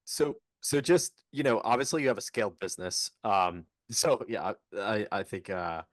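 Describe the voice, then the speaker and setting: A man hosting a podcast interview, encouraging and warm in his remarks and brief affirmations. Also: fairly low pitch, normal speed, no room sound. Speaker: podcast host. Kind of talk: casual interview.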